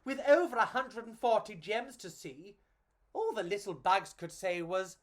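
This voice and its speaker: A woman's voice: medium pitch, a little slow. A woman reading and chatting to children as a storyteller, in a theatrical, gushing and affectionate way.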